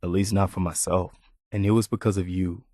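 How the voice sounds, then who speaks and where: Earnest, low-voiced wasteland militia leader. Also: male voice, fairly even intonation, warm tone, no echo, clean studio sound. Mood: somber, sympathetic. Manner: earnest, measured, sincere. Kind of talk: video game character dialogue.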